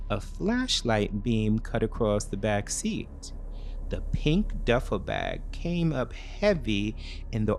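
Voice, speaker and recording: A man narrates a scripted thriller in the first person. His delivery is unhurried and deliberate, with a lively, varied pitch. There is faint noise in the background. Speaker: storyteller.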